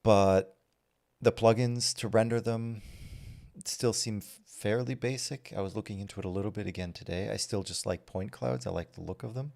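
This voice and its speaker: Low, gravelly man streaming casually. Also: normal speed. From Belgium. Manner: relaxed and rambling, with many fillers and restarts. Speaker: streamer answering chat. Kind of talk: casual livestream chat while working.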